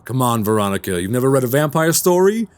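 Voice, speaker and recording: An actor playing a polite, troubled man suspected of being a vampire in a radio drama, speaking in measured, formal storyteller phrasing. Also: mid-range voice, very animated, close to an everyday voice. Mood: friendly, teasing. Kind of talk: immersive radio drama acting and narration.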